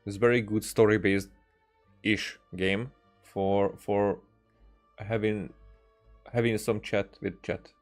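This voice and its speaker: A man streaming games, chatting casually in a hesitant, halting way as he thinks aloud. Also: fairly low pitch, flat delivery. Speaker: game streamer. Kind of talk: casual livestream chat. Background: music.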